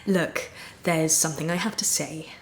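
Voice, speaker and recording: A woman playing a guilt-ridden, flustered young woman in a naturalistic dramatic monologue, halting and rambling as she confesses. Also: low voice, fairly even intonation, roomy sound. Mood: nervous, awkward. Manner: halting, rambling, blurting, with nervous laughter. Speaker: acting student.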